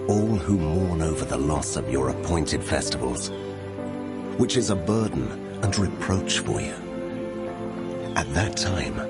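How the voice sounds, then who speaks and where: An actor voicing God as a solemn, commanding divine judge in a dramatized scripture reading, speaking in measured declarations. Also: male voice, extremely low pitch. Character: God, a wrathful yet restoring male divine judge.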